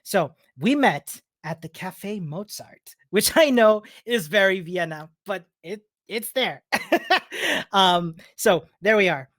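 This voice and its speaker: A man's voice: high voice, animated, slow, a bit bright, no echo, clean studio sound. A man from Chile giving a conference talk as a personal story, in a friendly, informal manner. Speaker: conference speaker, software developer. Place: remote virtual meetup presentation.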